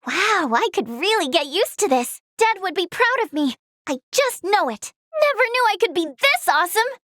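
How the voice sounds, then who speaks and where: A woman voicing a plucky, sweet young girl healer in a fantasy video game, with a perky, earnest and expressive delivery. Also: extremely high pitch, moderate pace, no echo, clean studio sound, full character voice. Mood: perky, cocky. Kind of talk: video game battle voice lines.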